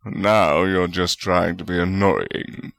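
A man plays a jovial, unrepentant wizard spirit in a storybook reading. The voice is very low and gravelly, with a stubborn, teasing manner. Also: takes it slowly, a bit bright, dry recording, clean studio sound, exaggerated voice. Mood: firm.

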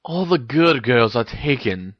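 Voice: mid-range voice, very animated, normal speed, no echo, dull audio, pitched above the performer's own voice. A reader voicing a defensive teenage boy wizard in an audio story, grumbling and petulant.

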